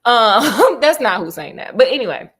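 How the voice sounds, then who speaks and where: Woman storyteller, fairly high voice, chatty and sassy. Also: very animated, normal speed, a bit bright, breathy edge. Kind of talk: casual comic story retelling.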